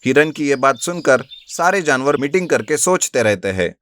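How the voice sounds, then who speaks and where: A man's voice: medium pitch, lively intonation, moderate pace, fairly bright tone, no room sound. A man telling a children's animal fable as a scripted narrator. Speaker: storyteller.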